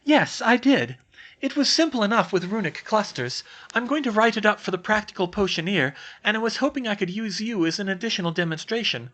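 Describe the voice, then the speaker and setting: A bright, earnest schoolgirl, as a man puts her on in a raised voice. She talks briskly and politely, pressing her case. Her pitch moves around a lot. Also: expressive, dull audio. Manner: brisk, persuasive.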